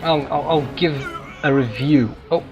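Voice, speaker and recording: A man giving casual, halting gameplay commentary as he hosts a gaming video. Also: on the high side. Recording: game music and battle noise behind. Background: video game music and combat sounds.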